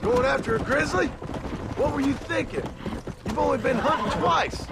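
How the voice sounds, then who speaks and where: An actor playing a stern, gruff rancher and reformed outlaw in a western video game, with an American Western drawl and a scolding, sardonic manner. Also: male voice, very high-pitched, moderate pace, very noisy. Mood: scolding, protective. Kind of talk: video game dramatic acting. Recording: outdoor ambience with horse hooves.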